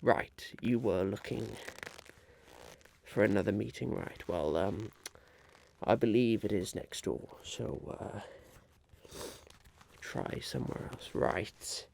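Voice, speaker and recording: A man playing a polite, harried would-be businessman chairing a meeting in a soft-spoken, halting ASMR roleplay. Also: lowish voice, gravelly, a little hiss or hum. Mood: flustered, polite. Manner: hesitant, halting, politely patient.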